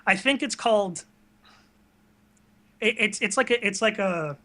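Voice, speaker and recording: A man's voice: high voice, unhurried, sharp tone. A young man chatting casually as a guest in an interview. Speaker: guest, video creator. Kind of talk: casual chat.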